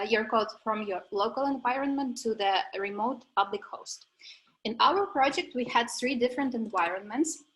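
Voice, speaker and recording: A woman's voice: fairly high pitch, a bit bright, poor audio. A young woman from Ukraine, giving a methodical, step-by-step technical presentation at a coding school graduation.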